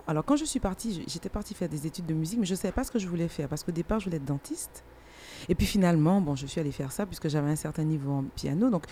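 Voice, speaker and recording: A woman's voice: fairly low pitch, dark tone, slight background noise. A middle-aged woman with a Martinican French accent, speaking casually and emphatically as she tells her own story as an interviewed artist. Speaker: singer and musician interviewed as guest.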